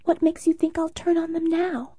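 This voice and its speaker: A man voicing a poised, sharp-tongued young female film star in an audiobook, with quick, teasing retorts. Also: extremely high pitch, completely flat delivery, dry recording, studio quality, pitched above the performer's own voice. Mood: guarded. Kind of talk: audiobook character voice.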